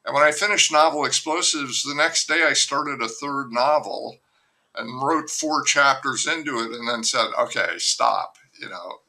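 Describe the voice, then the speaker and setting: Gravelly, bright-voiced male novelist. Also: mid-range voice, moderate pace, low-quality recording. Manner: candid, rambling, self-deprecating. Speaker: novelist guest. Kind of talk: conversational interview.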